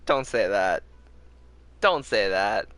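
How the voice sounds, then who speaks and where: A young man giving casual, chatty commentary as a game streamer, quick to giggle. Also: lowish voice, lively intonation, a bit bright, soft background music.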